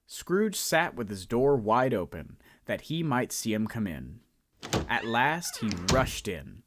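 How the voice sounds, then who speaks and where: Expressive male storybook narrator. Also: mid-range voice, slow pace.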